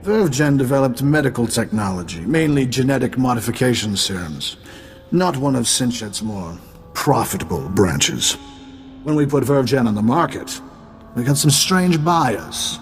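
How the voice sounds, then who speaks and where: An actor playing a shady retired tycoon in a video game, guarded, brusque and condescending. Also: male voice, medium pitch, lively intonation, moderate pace, natural voice. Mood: cagey. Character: wary, dismissive, shady retired male tycoon. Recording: faint game music behind the voice.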